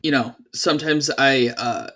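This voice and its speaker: A man voicing a dim, good-natured pro wrestler who speaks slowly and haltingly, with a lot of uh. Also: mid-range voice, a bit bright, lightly acted. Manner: slow, halting, lots of uh. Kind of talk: improvised tabletop role-play.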